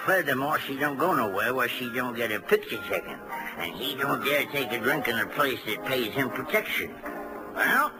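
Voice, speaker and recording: A man plays a seedy, thirsty underworld informant in a vintage radio detective drama. His slangy, halting talk swings widely in pitch. Music sits behind him on the old broadcast. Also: animated, close to an everyday voice, low-quality recording. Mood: calculating. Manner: slangy, hesitant, wheezy chuckling and throat-clearing.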